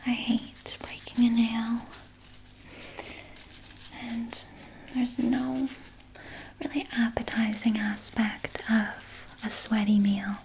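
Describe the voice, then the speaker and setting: A woman voicing a sly, doting vampire nurse in a breathy, soothing whisper for an ASMR roleplay. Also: fairly high pitch, flat delivery, a little hiss or hum, low-quality recording. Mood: playfully sinister. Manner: slow, soothing, coaxing, softly articulated. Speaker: ASMR performer.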